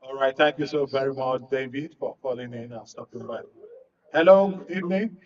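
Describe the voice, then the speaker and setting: A man's voice: mid-range voice, a little fast, distant mic, highs cut off, slight background noise. A man with a Nigerian accent hosting a live call-in show, often repeating words as he goes. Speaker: radio call-in show host. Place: radio and TV studio.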